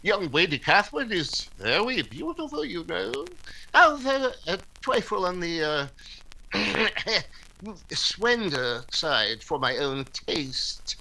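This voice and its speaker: A man's voice: high voice, sing-song, slow, gravelly, sharp tone, exaggerated voice, rough recording. A man playing a pompous, fussy clergyman suitor who speaks formally in a period radio comedy. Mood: pompous, earnest.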